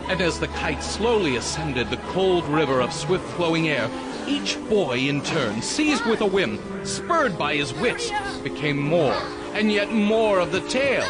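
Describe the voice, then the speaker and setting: A man's voice: fairly high pitch, very animated, measured pace, low-quality recording. A man narrating a dramatized audiobook in a theatrical, emphatic way, savoring each phrase. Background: prominent music.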